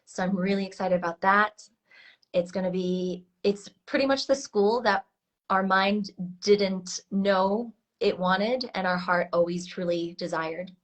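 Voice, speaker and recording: A woman's voice: mid-range voice, steady tone, a little slow. A woman in her thirties from Switzerland with an American accent, a coach talking casually and earnestly in an interview, with frequent fillers and restarts. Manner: earnest, many restarts and fillers, laughs often. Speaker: coach, guest.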